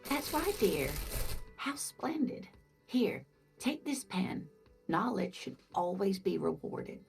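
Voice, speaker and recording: A woman voicing a kindly, scatterbrained older married woman in a video game, gentle and doting, with music behind her. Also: mid-range voice, sing-song, slow, gravelly, heavily acted. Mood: gentle, nostalgic. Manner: gentle, doting, hesitant, calls listener dear. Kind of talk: video game dialogue acting.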